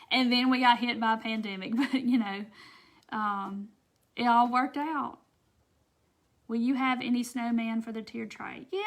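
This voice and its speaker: A woman's voice: on the high side, a bit bright. A woman with a Southern US accent hosting a casual craft live stream, chatting folksily with viewers and reading their comments by name.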